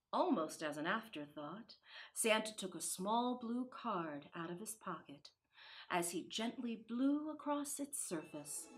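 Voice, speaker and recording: A woman storyteller reading a children's storybook expressively, with dramatic, deliberate phrasing that dips into hushed tones. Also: mid-range voice.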